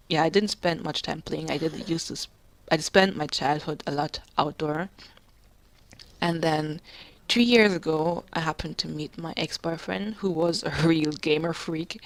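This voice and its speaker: Low-voiced young woman, soft and halting. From Jamaica. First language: English. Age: 20s. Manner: soft-spoken, halting, quiet and calm. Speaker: gamer. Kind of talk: personal commentary.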